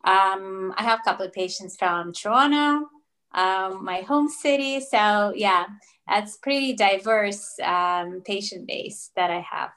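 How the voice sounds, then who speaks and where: A woman's voice: mid-range voice, measured pace, a bit bright. A woman in her thirties, born in Russia with Russian as her first language, telling her story as an interview guest over a video call, thoughtful with many fillers and restarts. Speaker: naturopathic doctor, guest.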